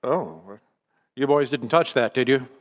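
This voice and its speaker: A man's voice: medium pitch, lively intonation, a little slow, long reverb tail, muffled recording, close to an everyday voice. A man playing a kindly rural farmer in a radio drama, hesitant, uneasy and avuncular. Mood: concerned, uneasy.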